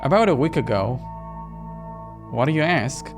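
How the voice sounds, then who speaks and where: A man's voice: mid-range voice, very animated, normal speed, music in the background. A man reading the part of an uneasy, doubting young woman in a horror visual novel.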